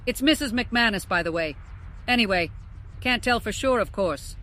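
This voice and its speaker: A woman's voice: mid-range voice, expressive, moderate pace, bright, forceful tone. A woman playing an assertive, unflappable volcanologist, speaking in a matter-of-fact, self-assured way for an audiobook. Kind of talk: audiobook character dialogue.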